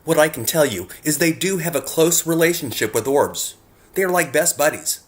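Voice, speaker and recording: A man's voice: a little high, expressive, moderate pace. A man reading scripted narration in an earnest way, speaking directly to the viewer as he presents his work. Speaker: narrator presenting own photographs.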